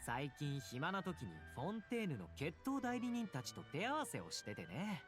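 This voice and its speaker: A man's voice: on the high side, huge pitch swings, quick pace, over music. An actor voicing a breezy, confident young male warrior in a video game. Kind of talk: Japanese video game voice acting.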